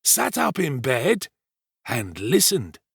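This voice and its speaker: A man voicing a pompous, self-important male servant in a storybook reading, with drawn-out, emphatic delivery. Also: mid-range voice, wildly swooping pitch, gravelly, a bit bright, husky, dry recording, studio quality, voice pushed up, full character voice. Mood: dramatic, grave.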